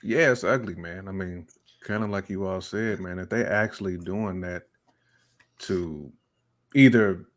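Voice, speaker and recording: A man's voice: low-pitched, measured pace. An American man co-hosting a casual hip-hop podcast, talking in slang with incredulous emphasis. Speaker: podcast co-host.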